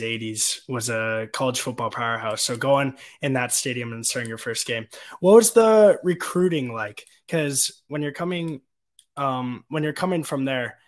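A man hosting a podcast, asking long, rambling questions with plenty of "um" and "uh" in a casual tone. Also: medium pitch. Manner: rambling questions with filler words. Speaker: podcast host.

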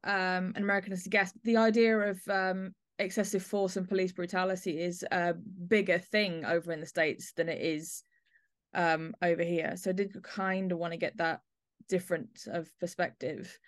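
A woman's voice: on the low side, steady tone, normal speed, no echo, studio quality. A British woman hosting a podcast in a conversational discussion about the arts. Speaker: podcast host.

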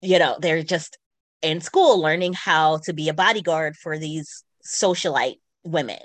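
A woman's voice: low voice, measured pace, no echo. A woman chatting casually and enthusiastically as a podcast co-host, recommending things she enjoys. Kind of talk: casual chat, recommending books.

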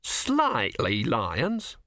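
A man voicing an earnest, slow-witted young novice who questions things anxiously and hesitantly in an audiobook story. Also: mid-range voice, big pitch swings, a bit bright, no echo, clean studio sound. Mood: anxious, incredulous.

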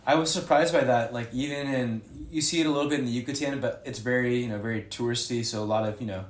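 Young man, podcast guest, mid-pitched, rambling and self-correcting, echoey room. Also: fast talker. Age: young adult. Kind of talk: casual interview chat.

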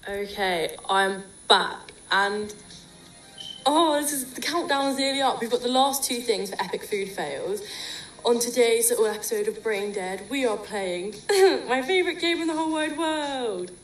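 A woman's voice: a little high, animated, normal speed, a bit bright, faint music behind, rough recording. Chatty young woman on student radio. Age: young adult. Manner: chatty, jokey, opinionated. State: croaky voice. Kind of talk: casual radio chat.

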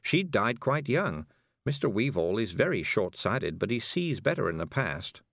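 A man voicing a middle-aged woman witch who speaks slowly, calmly and patiently. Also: on the low side, creaky, no room sound, clean studio sound, exaggerated voice. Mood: measured. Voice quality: slow, measured, patient.

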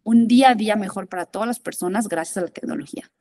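A woman's voice: medium pitch, quick pace, warm tone, dry recording, clean studio sound. A woman, a guest in a podcast interview, speaking thoughtfully with many fillers and restarts and dropping English terms into her talk. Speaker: interview guest, innovation consultant.

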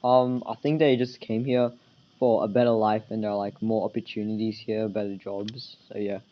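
A man's voice: little pitch movement, moderate pace. Hesitant teenage boy, muffled audio. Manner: casual, hesitant, filler-heavy. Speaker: student. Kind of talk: school podcast interview. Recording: dull low-fidelity school recording.

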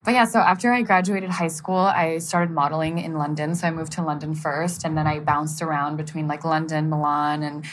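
Chatty young woman, American accent, lowish voice. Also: flat delivery, a little fast, hardly any pauses, slight background noise. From Germany. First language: German. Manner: chatty, laughs often, many fillers like "like". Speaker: guest, model. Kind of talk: casual podcast interview.

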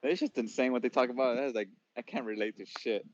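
A man of twenty, a podcast guest, telling stories in a relaxed casual chat. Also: mid-range voice, quick pace, dry recording, highs cut off. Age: 20s.

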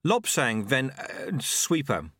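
A man's voice: mid-range voice, very animated, takes it slowly, fairly bright tone, no room sound, clean studio sound, voice pushed up, exaggerated voice. A man voicing an earnest, nervous young novice monk in an audiobook, hesitant, deferential and easily awed. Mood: earnest, respectful.

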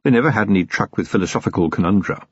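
A man voicing a polite, composed male butler who speaks in a measured, deferential way. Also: fairly low pitch, husky, no echo. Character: calm, proper male butler. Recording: dull, dry, lo-fi recording.

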